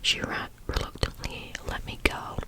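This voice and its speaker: A person speaking entirely in a breathy, unvoiced whisper, reading stories aloud in a casual, conversational ASMR style. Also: normal speed. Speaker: host reading listeners' or online stories aloud.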